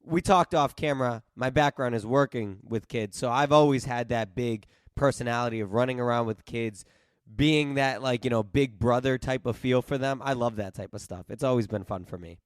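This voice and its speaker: A man's voice: mid-range voice, normal speed, dry recording, studio quality. Eager young man hosting a chat. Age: late 20s. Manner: eager, repeats himself, many restarts. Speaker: podcast host. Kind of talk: casual interview chat. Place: East Coast home, remote video call.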